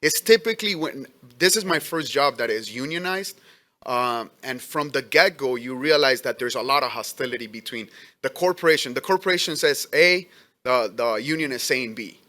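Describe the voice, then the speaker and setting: A man's voice: medium pitch, lively intonation, pushed and bright. Earnest immigrant man testifying. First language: not English. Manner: earnest, halting, many restarts. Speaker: letter carrier giving testimony. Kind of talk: testimony at a public hearing.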